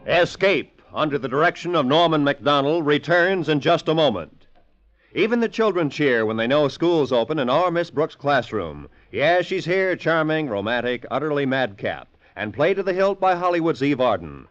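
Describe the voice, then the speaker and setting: A man working as a radio announcer, giving a bright promotional break. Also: on the high side, big pitch swings, moderate pace. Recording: dull old radio broadcast.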